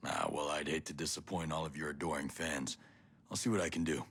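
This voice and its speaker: A man playing a gruff, wry special-ops soldier in a video game cutscene, dry and guarded in manner. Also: extremely low pitch, steady tone, fairly quick, fairly bright tone, a little hiss or hum, full character voice. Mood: dry sarcasm.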